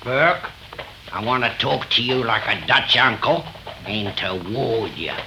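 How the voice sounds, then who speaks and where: A man's voice: medium pitch, unhurried, lightly acted. A man playing a blunt, prejudiced frontier Westerner with folksy slang in a 1930s radio drama. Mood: blunt, warning. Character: blunt, bigoted 1850s California frontier man. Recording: noisy 1930s radio recording.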